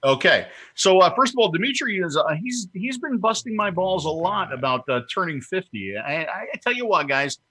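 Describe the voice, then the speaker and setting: A man of fifty hosting a casual show, joking and animated. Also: high voice, brisk, hardly any pauses, slightly rough. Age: 50s. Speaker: host.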